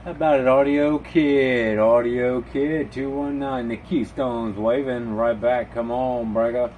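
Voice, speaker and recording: A man's voice: mid-range voice, steady tone, unhurried, narrow, tinny audio. A man operating a CB radio, calling out slangy, repetitive call signs in a chanting way. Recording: clearly noisy radio ambience.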